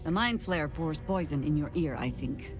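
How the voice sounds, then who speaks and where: A woman's voice: low-pitched, lively intonation, moderate pace, music in the background. An actress playing a stern, battle-hardened woman leader in a video game, speaking in imperious, dramatic commands. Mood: urgent, threatening.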